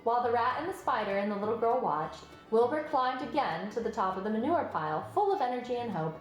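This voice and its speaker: A woman's voice: on the high side, lively intonation, fast. A woman reading a children's story aloud as scripted narration. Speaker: storybook reader. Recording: roomy sound, music behind. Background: music.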